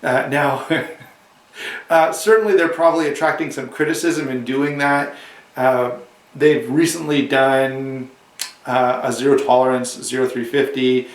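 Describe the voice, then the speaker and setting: A man with a cold and a slightly hoarse voice, casually reviewing a knife to camera, rambling with restarts and asides. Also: medium pitch, fairly even intonation, a little slow, echoey room, distant mic.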